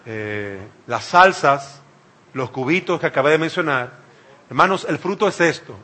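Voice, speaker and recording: A man's voice: a little high, expressive, normal speed, bright, forceful tone. Emphatic Dominican preacher man. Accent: Dominican Spanish. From Dominican Republic. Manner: emphatic, repeats phrases for effect. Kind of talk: sermon.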